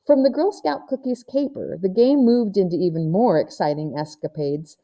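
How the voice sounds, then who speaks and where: A woman reads a children's story aloud as narrator. Her voice is very mellow and dark-toned, sitting at an ordinary pitch for a woman. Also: moderate pace. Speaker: audiobook reader.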